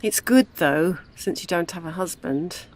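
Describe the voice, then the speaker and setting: A woman's voice: fairly low pitch, measured pace, a little mellow, close to an everyday voice. An actress with a British accent playing a grieving older widow and mother, wry and devoted, in an intimate audio drama. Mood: subdued, wry.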